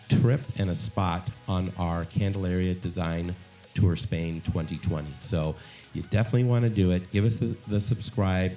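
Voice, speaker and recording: Male podcast host, low mellow voice, chatty and encouraging. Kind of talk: casual interview chat. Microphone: studio microphone with headphones.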